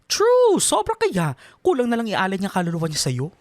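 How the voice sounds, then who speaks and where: A woman's voice: mid-range voice, wildly swooping pitch, normal speed, darkish tone, dry recording. A performer, a woman, playing a sassy, gossipy young friend with a Filipino accent and exaggerated delivery in a radio drama. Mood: dramatic, mocking.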